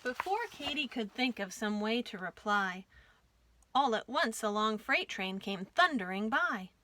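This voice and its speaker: Female storytime reader, higher-pitched and bright, dry close sound, expressive delivery of rhyming children's verse. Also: a little slow, slight background noise. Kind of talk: expressive read-aloud of a rhyming picture book.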